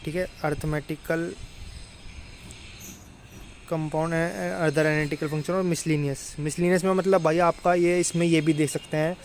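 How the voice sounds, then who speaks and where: A man's voice: fairly high pitch, fairly even intonation, moderate pace, a little hiss or hum. A young man informally explaining to students as an exam tutor, colloquial and often checking that they follow. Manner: colloquial, repeatedly addresses listeners as brother, checks understanding.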